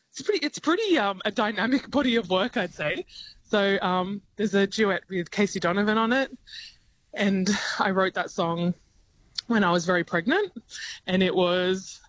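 A woman's voice: medium pitch, rough recording. Giggly Australian woman musician on the phone. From regional New South Wales, Australia. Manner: thoughtful, giggly, many ums and restarts. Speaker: singer-songwriter guest. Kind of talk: radio interview over the phone.

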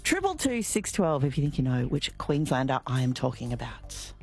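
A woman's voice: a bass voice, wildly swooping pitch, moderate pace. An Australian woman hosting talkback radio, chatty and upbeat as she takes calls and reads out numbers and quiz clues. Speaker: radio host. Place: radio studio. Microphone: studio mic.

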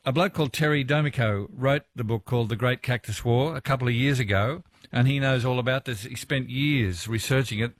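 A man's voice: medium pitch, a little fast, hardly any pauses, no room sound. A man with an Australian accent, presenting and interviewing as a radio host.

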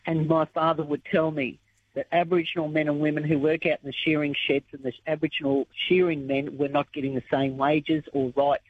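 A woman from Australia, croaky-voiced and earnest, talking emotionally in a radio interview. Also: sits low, level tone, brisk. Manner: earnest, emotional when recalling the past. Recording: tinny phone line.

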